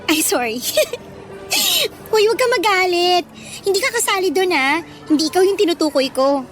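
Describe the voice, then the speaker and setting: A woman's voice: very high-pitched, big pitch swings, fairly bright tone, faint music behind, full character voice. A woman playing a pushy, vain, slangy female college student in a radio drama. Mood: sassy, teasing. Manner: sassy, slangy, cajoling, drawn-out words.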